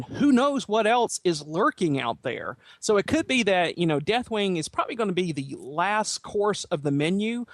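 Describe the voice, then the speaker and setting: A man's voice: fairly high pitch, dry recording. A man chatting in a colloquial, rambling way as a panelist on a gaming podcast. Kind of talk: casual gaming panel discussion.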